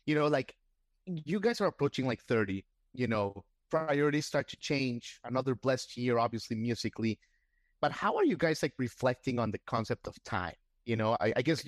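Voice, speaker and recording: A man's voice: medium pitch, fairly quick, dry recording, studio quality. A man hosting a podcast interview, asking rambling questions full of restarts and fillers. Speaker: podcast host. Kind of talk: casual interview.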